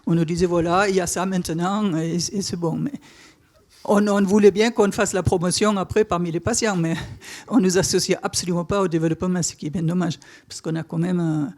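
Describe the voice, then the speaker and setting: A middle-aged German-born woman with a Toulouse accent and German as first language, giving a halting personal account at a panel discussion. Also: on the low side, steady tone, a little mellow. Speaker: patient association president. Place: on stage at a conference. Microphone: stage microphone.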